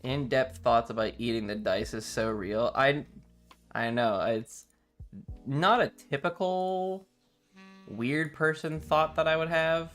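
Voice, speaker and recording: Male live streamer, mid-range voice, loose rambling chat with a playfully dramatic streak, soft guitar music behind. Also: mid-range voice. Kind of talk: casual livestream chat with viewers.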